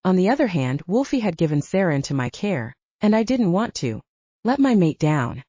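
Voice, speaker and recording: A woman reads first-person fiction in a slightly low, expressive voice. The sound is very dry and close, a little dull in the top end, as an audiobook narrator would deliver it. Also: moderate pace, dry recording.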